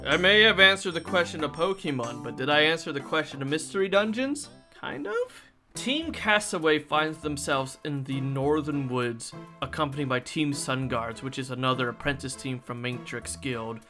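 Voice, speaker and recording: A man hosting as game master, telling scripted tabletop campaign stories in an expressive, jokey way with theatrical emphasis. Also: on the high side, normal speed, music in the background. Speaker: video host and game master.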